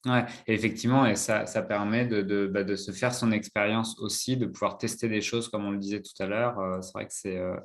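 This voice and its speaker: A man moderating a webinar, relaxed, reading out comments from viewers. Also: lowish voice, fairly quick, few pauses. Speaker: webinar host.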